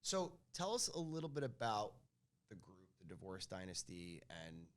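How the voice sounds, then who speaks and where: A man's voice: sits low, very animated, moderate pace. A man hosting an interview, who asks questions and keeps affirming the guest. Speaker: interview host. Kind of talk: conversational interview.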